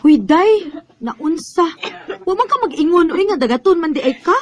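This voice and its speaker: A woman's voice: extremely high pitch, animated, moderate pace. An actress playing a playful, encouraging teenage schoolgirl in a radio drama, with a Cebuano accent and a teasing manner. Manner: giggly, teasing. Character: playful, encouraging teenage high school girl, best friend. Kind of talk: Bisaya radio drama acting.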